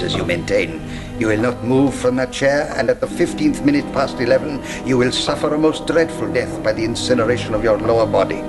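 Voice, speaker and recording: An actor playing a cold, pompous villain in a radio play, speaking in a formal, measured and menacing way. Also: male voice, medium pitch, natural voice. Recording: loud film score behind voice.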